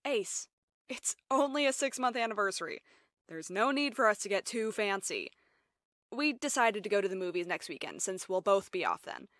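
Woman playing young ticket girl, cartoon, sensible, prim, bright tone, dry recording. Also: medium pitch, big pitch swings, dry recording. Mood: resigned. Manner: measured, matter-of-fact. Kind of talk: animated web series voice acting.

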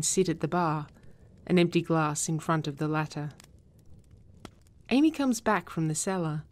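Casting: female script narrator, deep for a woman, unhurried, measured reading of stage directions. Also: darkish tone. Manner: measured, descriptive.

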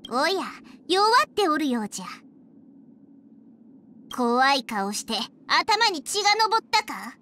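High, childlike little dragon girl healer. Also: sing-song, slow, pauses often, sharp tone, heavily acted. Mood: playful, teasing. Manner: archaic elderly-style speech from a childlike voice, self-important. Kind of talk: acted video game character voice lines.

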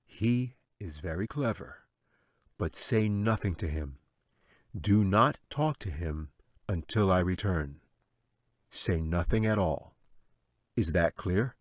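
A man's voice: low voice, animated, slow pace, long pauses, dry recording, clean studio sound, exaggerated voice. A man voicing a stern, protective male angel in a storybook, firm and grave. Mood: solemn.

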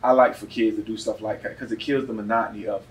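A man's voice: on the low side, steady tone, quick pace. A man hosting a casual sports podcast, telling stories in slangy, swearing speech. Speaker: podcast host. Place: indoor gym, podcast set. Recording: noisy, distant mics in gym.

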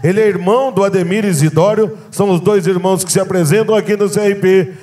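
A man giving lively, praising rodeo commentary, shouting out at the highlights. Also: fast. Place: outdoor rodeo arena.

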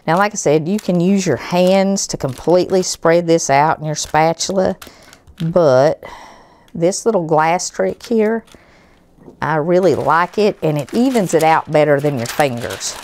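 A middle-aged woman, hoarse from a cold, explaining a recipe in a homey, chatty way in her home kitchen. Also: low-pitched, a little mellow. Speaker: cooking show host. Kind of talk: home cooking demonstration.

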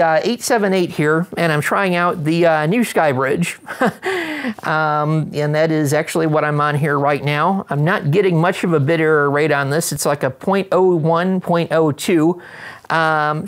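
A middle-aged man with an American accent presenting an instructional demonstration with casual radio chat, methodical in his delivery, recorded in an indoor studio. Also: on the high side. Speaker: presenter, ham radio operator. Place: indoor studio with wood-panel backdrop.

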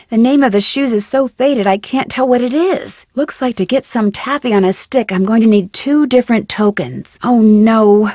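A young woman with an American accent playing a curious, upbeat teenage girl detective in an adventure game, speaking in a clear, inquisitive way. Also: mid-range voice, a little mellow, no room sound, studio quality, close to an everyday voice. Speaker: video game voice actor. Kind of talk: scripted adventure game lines, thinking aloud.

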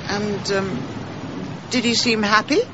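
An actress playing a prickly, inquisitive amateur sleuth in a radio drama, with a brisk, dry, exasperated manner. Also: female voice, mid-range voice, steady tone, lo-fi sound, noisy background, natural voice. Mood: probing, careful.

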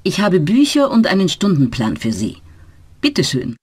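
A woman plays a friendly teacher welcoming her class in a scripted language-course recording. Her intonation rises and falls in a lively way, the tone is slightly breathy, and the sound is dry and close. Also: on the low side, normal speed, no echo, full character voice. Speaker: teacher in a dialogue. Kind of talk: slow scripted learner dialogue.

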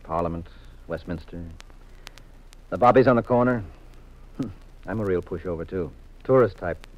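A muffled vintage radio recording of a man acting as an American detective husband. His voice is low, and he speaks with wry, teasing assurance. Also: normal speed, lightly acted. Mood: affectionate, teasing. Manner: wry, assured, leading questions. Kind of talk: 1950s radio mystery acting.

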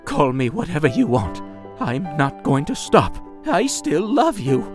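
Heartbroken gentleman snake demon male actor, formal, high-pitched and unhurried, music underneath. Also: very animated. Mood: pleading. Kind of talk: audio comic dub acting. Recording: background music.

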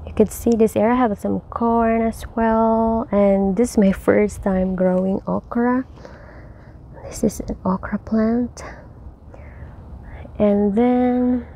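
A woman's voice: mid-range voice, warm tone. A woman giving a cheerful, chatty tour of her backyard garden, talking to viewers while filming outdoors on a handheld phone. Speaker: home gardener. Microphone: handheld phone camera.

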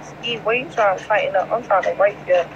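A woman's voice: medium pitch, a little fast, lo-fi sound. A young woman with a New York accent mixed with Southern, chatting bluntly in slang and swearing on a casual livestream while reading out comments. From New York, United States. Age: young adult. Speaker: livestream host, rapper. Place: large indoor airport terminal. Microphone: phone at arm's length. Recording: noisy airport terminal, phone livestream. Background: loud terminal ambience.

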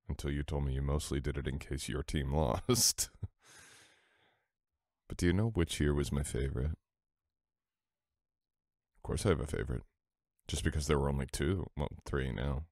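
Casting: male actor as teasing, affectionate boyfriend for ASMR roleplay; extremely low voice, close dry sound, soft unhurried murmur. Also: dry recording.

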